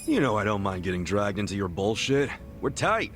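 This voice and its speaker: An actor playing a brash, warmhearted, loyal street tough in a video game cutscene, blunt and informal. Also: male voice, fairly low pitch, very animated, brisk, music in the background. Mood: breezy, loyal. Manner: informal, blunt, swaggering, foul-mouthed.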